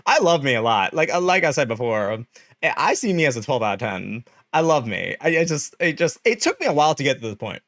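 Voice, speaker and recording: A man's voice: medium pitch, very animated, brisk, a bit bright, no echo, clean studio sound. A young man with a nasal voice, chatting animatedly and laughing often as he talks to his stream. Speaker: streamer host. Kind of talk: casual livestream chat.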